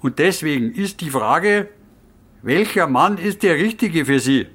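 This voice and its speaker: Self-important village chairman, Bavarian-Austrian accent, husky, ceremonial, ponderous, radio drama. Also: fairly high pitch, animated, measured pace, fairly bright tone, heavily acted. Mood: solemn, worried. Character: solemn, self-important village man chairing a secret meeting.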